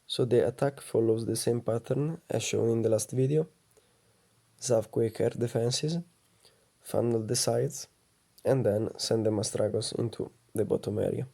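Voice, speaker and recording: A man with a low, soft voice giving calm, methodical gameplay commentary as a voice-over. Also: a little slow, creaky, husky. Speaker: gaming channel host.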